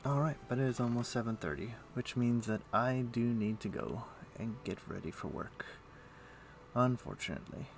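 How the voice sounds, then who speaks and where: A man's voice: medium pitch, animated, moderate pace, vocal fry, slight background noise. A man hosting a casual gaming livestream, rambling and thinking aloud with mild, old-fashioned turns of phrase. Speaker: livestream host. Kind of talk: casual gaming stream chat.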